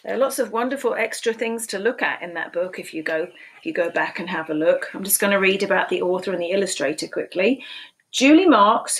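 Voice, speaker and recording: A woman in her sixties reading a picture book aloud to young children in a sing-song storytelling voice, asking them questions, recorded on a webcam at home. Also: mid-range voice. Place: small room at home, indoors. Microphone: webcam at desk.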